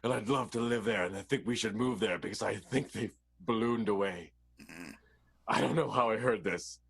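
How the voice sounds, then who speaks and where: An actor playing an earnest, coaxing schemer in an improvised comic radio soap, with an emphatic, melodramatic delivery. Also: male voice, fairly low pitch, moderate pace, creaky, a bit bright, slightly rough, exaggerated voice. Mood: scheming. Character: earnest, coaxing male schemer rallying his partners.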